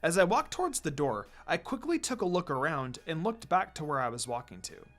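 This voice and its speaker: A man's voice: on the high side, very animated, brisk, fairly bright tone. A man reading a fan-written story aloud as a dramatic first-person narrator. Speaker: fanfiction reader. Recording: background music under the voice. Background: music.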